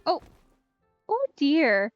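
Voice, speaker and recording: A woman streaming a game with casual commentary. Her voice is fairly high and close, in a dry, slightly dull recording with soft game music underneath. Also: very animated, extremely slow. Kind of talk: casual live playthrough commentary.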